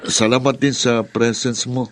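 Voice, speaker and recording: An actor playing a proud, wounded younger son in a Filipino radio drama, with emotional delivery and a Filipino accent. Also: male voice, medium pitch, flat delivery, unhurried, close to an everyday voice. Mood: humbled, grateful. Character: proud, wounded younger son, bitter and self-reproaching, later humbled.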